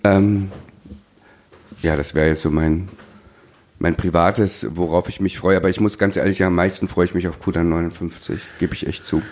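Man, lower voice, casual podcast chat, halting delivery, searching for words. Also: fairly even intonation, darkish tone. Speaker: podcast co-host.

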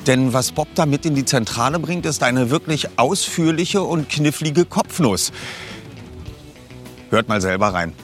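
A man's voice: medium pitch, lively intonation, measured pace, pauses often, soft background music. A man presenting scripted material in an upbeat, informal way, speaking directly to his listeners as a host. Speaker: podcast host and ad reader.

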